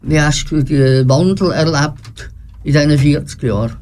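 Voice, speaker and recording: A man's voice: on the high side, a little slow, darkish tone. A man who hosts a radio talk show, asking questions in a studio and encouraging his guest with short acknowledgements. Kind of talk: conversational interview.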